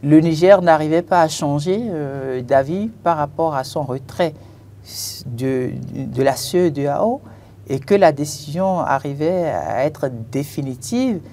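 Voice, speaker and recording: Hesitant panelist with a mellow mid-range voice. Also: medium pitch, takes it slowly, slight background noise. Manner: hesitant, many fillers and restarts. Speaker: panelist on a political talk show. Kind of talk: TV panel debate commentary.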